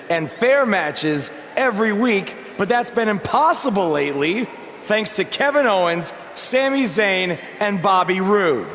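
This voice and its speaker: A man's voice: on the high side, a bit bright, slight background noise. A man playing a young, defiant wrestling champion with a wry streak in a video game cutscene. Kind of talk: video game cutscene acting, promo speech.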